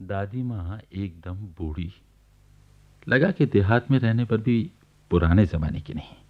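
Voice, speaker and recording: A man's voice: lowish voice, lively intonation, a little slow. A man giving scripted storytelling narration in a radio play. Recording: thin phone-like archival radio recording.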